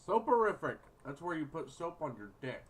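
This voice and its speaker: A man's voice: fairly high pitch, sing-song, normal speed. A young man giving casual, crude, joking commentary as co-player in a gaming video. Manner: crude, wisecracking. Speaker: co-player making choices.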